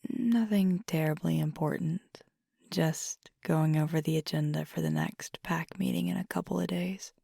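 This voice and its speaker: A woman playing an affectionate, teasing werewolf girlfriend in an ASMR roleplay, speaking in a soft, breathy, half-whispered voice with casual pauses. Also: low-pitched, steady tone, dry recording, clean studio sound, full character voice. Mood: thoughtful, subdued. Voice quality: breathy, husky, gravelly fry. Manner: casual, unhurried, hesitant pauses. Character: fiery werewolf girlfriend, affectionate, teasing, weary pack member.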